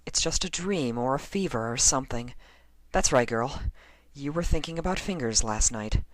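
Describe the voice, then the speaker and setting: A man acts out a nervous young unicorn woman for a horror storybook, lifted well above his natural register. She mutters hesitantly, as if soothing herself, rattled yet trying to stay calm. The sound is dry and close. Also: mid-range voice, normal speed, dry recording, voice pushed up, lightly acted. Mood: anxious self-reassurance. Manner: hesitant, self-reassuring muttering. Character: anxious young female unicorn musician, rattled but self-soothing.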